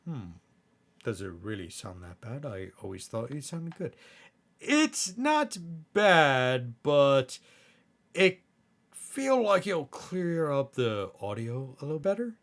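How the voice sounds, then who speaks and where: A man's voice: medium pitch, big pitch swings, slow pace. A man playing a chatty, fussy hellhound brother in skit banter, explaining and coaxing in a hesitant way. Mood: enthusiastic, coaxing.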